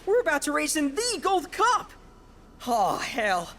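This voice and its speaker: An eager young woman gushes excitably like a true racing fan in a game scene. The voice is high and swoops about, with faint background noise. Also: measured pace, heavily acted. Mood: thrilled. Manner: excitable, gushing. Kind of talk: game cutscene dialogue.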